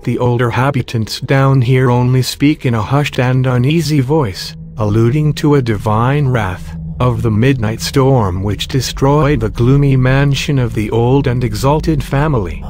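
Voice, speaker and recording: A man's voice: medium pitch, a little slow, hardly any pauses, soft background music, natural voice. A man playing an eerie, knowing voice from a tomb, speaking solemn, literary lines in a gothic horror audio drama. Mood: solemn, ominous. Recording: clearly noisy, ambient soundscape behind. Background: dark horror ambience.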